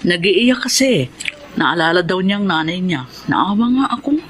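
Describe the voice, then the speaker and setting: Forgiving, soothing mother for radio drama, lowish voice with lively intonation. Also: normal speed, a little hiss or hum. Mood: tender, reassuring. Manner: gentle, soothing. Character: patient, forgiving, kind-hearted mother and wife. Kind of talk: Filipino radio drama acting.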